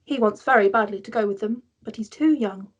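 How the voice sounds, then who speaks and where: A woman's voice: medium pitch, brisk, slightly rough, lo-fi sound. A woman reading stage directions as the narrator of a script table read.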